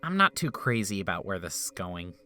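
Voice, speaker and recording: A man's voice: deep voice, big pitch swings, brisk, bright, forceful tone, faint music behind, heavily acted. A man voicing a sarcastic, impatient male doctor, wry and exasperated. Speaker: voice actor in a fan game dub.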